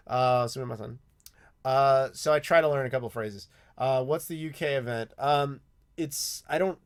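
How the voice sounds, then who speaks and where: An American man hosting a live Q&A stream, chatting casually with lots of ums and restarts. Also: medium pitch. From United States. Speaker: YouTube host answering live chat. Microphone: desk mic with clacking mechanical keyboard.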